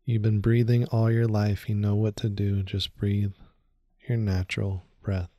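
A man leading a guided meditation in a soothing, unhurried way, speaking in short phrases with long pauses. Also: fairly low pitch, flat delivery, mellow, no echo, clean studio sound.

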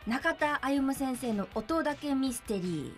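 A woman's voice: high-pitched, lively intonation, a little slow. A woman co-hosting a radio show, chatting and reading out listener messages. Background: faint background music.

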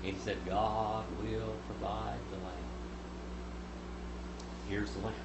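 A man in his seventies teaching the Bible at a lectern, reading scripture aloud and voicing its speakers louder. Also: lowish voice, little pitch movement, slow pace. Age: 70s. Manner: reads scripture aloud, rising loud for quoted speakers. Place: small room with a lectern. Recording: echoey room, noticeable hum.

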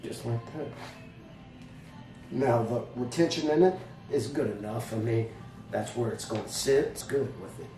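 A slightly hoarse man giving a casual, folksy product review straight to his viewers. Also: mid-range voice, normal speed, long pauses, over music. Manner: folksy, colloquial, addressing viewers directly. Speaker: product reviewer, channel host. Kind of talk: casual chatty holster video review. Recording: roomy, distant mic with loud background noise.

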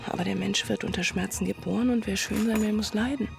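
A woman's voice: mid-range voice, steady tone, fast, creaky. An actress playing an anxious, overwrought mother of a small son in a radio drama, brooding and intimate. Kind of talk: German radio drama monologue. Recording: music under the voice.

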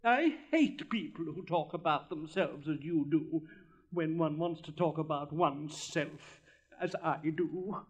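A man's voice: a little high, a little slow, breathy edge, voice pushed up. A man voicing a vain, pompous male firework rocket in a storybook reading, drawing out his words with haughty self-importance. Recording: dull lo-fi recording.

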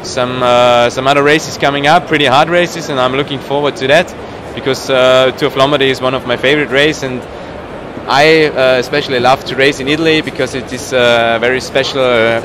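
A man of about thirty, not a native English speaker, giving a casual interview as a professional cyclist, searching for his words. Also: medium pitch. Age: around 30. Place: indoor trade show booth. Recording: noisy trade show hall, background ambience.

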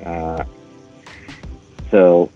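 A middle-aged man giving an informal, halting technical walkthrough as a podcast guest, step by step. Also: low voice, level tone, narrow, tinny audio, soft background music. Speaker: podcast guest, intercom engineer.

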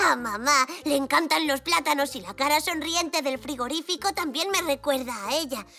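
Five-year-old cartoon zebra boy, high childlike voice, very bright, curious, eager. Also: moderate pace. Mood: thoughtful. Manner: eager, expressive.

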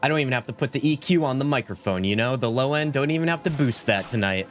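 Man, 30s, casual streamer chat, lots of "like" and "dude", slightly deeper sick voice, quiet game music behind. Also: lively intonation, fast talker. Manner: laid-back, rambling, says "like" and "dude" a lot. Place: small home room. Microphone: studio mic at desk, webcam framing.

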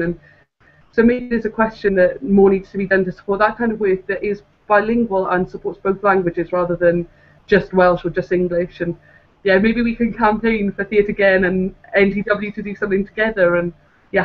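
A young woman, a theatre maker and blogger, speaking thoughtfully and articulately in a casual panel discussion over a video call from a room with bookshelves. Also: medium pitch. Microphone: laptop webcam video call. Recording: muffled video call audio.

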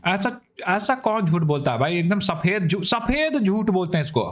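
A man's voice: high-pitched, expressive, racing, faint music behind. A man with an Indian accent giving casual, teasing live game commentary, switching between Hindi and English. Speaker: game streamer.

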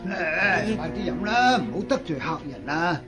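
Agitated, excitable man, Hong Kong accent, high swooping voice, radio drama over loud music. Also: moderate pace. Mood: uneasy. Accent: Hong Kong Cantonese. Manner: agitated, exclaiming. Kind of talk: Cantonese radio drama acting.

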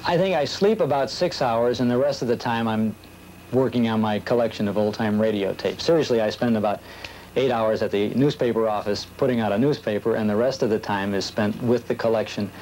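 Man, slightly low pitch, interviewed broadcaster, faintly noisy vintage tape. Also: brisk, a little mellow. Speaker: old-time radio collector and broadcaster. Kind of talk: interview talk. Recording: slightly noisy 1970s videotape.

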